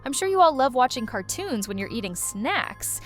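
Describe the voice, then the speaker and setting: Animated, high-voiced woman hosting a video. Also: normal speed, faint music behind. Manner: playful, exaggerated, chatty. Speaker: host of a reaction video channel. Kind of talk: casual scripted commentary for young viewers.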